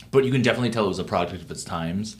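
A man co-hosting a podcast in casual chat, upbeat and joking, hyping up his co-host. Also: on the low side.

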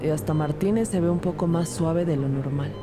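A composed female detective played by an actress tells her case in an audio drama. She is empathetic yet serious, and music runs clearly underneath her voice. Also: low-pitched, fairly quick, lightly acted. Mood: soft, sympathetic. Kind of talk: Spanish-language audio drama, narration and dialogue. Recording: loud music bed under the voice.

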